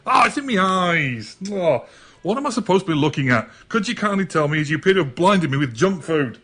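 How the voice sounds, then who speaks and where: An exasperated shopkeeper played by a man in a comedy sketch. He is indignant and sarcastic, with very lively intonation and a brisk delivery. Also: fairly high pitch, exaggerated voice.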